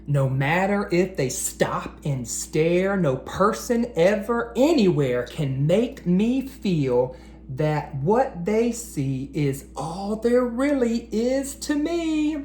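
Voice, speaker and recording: A man reading aloud to children like an educator, with an expressive, encouraging manner that speaks straight to them. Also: sits high, slow. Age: adult. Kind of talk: animated children's read-aloud. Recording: roomy echo, faint background noise.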